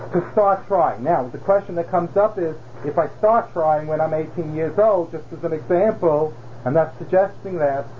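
High-voiced man teaching halacha. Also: a little hiss or hum. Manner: patient, explanatory, rhetorical questions. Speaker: rabbi teaching a class. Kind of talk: Torah class explanation. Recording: dull lo-fi class recording.

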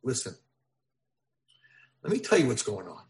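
A man voicing a kind old medicine man who gently coaxes a boy to remember. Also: mid-range voice, huge pitch swings, moderate pace. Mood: coaxing. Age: older. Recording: echoey room.

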